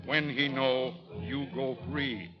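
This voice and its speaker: Slow, stern war chief played by an actor. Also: male voice, mid-range voice, steady tone, lightly acted. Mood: menacing. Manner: broken, clipped English in short commands. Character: stern, threatening Cheyenne war chief interrogating captives. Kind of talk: 1930s-40s radio drama acting. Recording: muffled vintage radio recording, music underneath.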